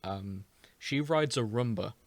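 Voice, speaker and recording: A man's voice: lowish voice, slow, no room sound, studio quality. A man hosting a casual chat podcast, explaining a story in a storytelling way. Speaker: podcast host.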